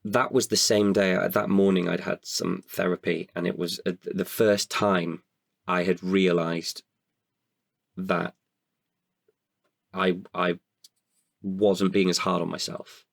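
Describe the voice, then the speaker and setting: A man's voice: deep voice, little pitch movement, takes it slowly, gravelly, long pauses, no echo, clean studio sound. A man talking casually as a podcast guest, candid and introspective, feeling his way through his thoughts with some hesitation. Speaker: podcast guest, writer and performer. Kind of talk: reflective casual interview talk.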